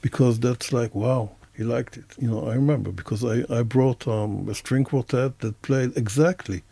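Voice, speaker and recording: A man with an Israeli accent and a low-key, mellow tone that often crackles into fry. He talks like a reflective interviewer, feeling his way through roundabout sentences. The sound is close and dry. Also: moderate pace, dry recording. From Israel and the United States. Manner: hesitant, roundabout phrasing with many hedges. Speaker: interview host, professor. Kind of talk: casual reflective conversation.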